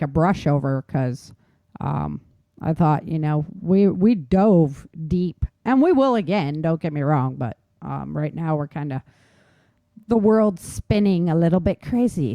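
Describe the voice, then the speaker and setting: A woman's voice: deep voice, animated, moderate pace, dark tone, no echo, highs cut off. A woman hosting a talk show in casual chat, telling things informally with slangy emphasis and repetition. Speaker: talk show host, author. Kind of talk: casual chat on survival tips.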